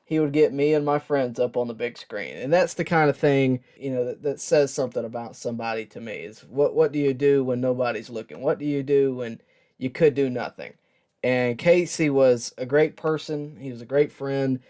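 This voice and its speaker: A man hosting a sports show, giving a personal tribute in a reflective, earnest and halting way with pauses. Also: medium pitch, a little mellow. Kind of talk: personal tribute talk to camera.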